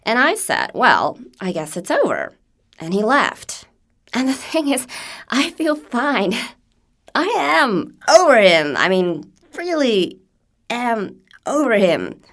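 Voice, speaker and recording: A woman's voice: on the high side, sing-song, slow, fairly bright tone, pitched above the performer's own voice, heavily acted. A woman playing a wistful, candid American woman in a conversational, confiding dramatic monologue about her own life. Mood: insistent, hurt.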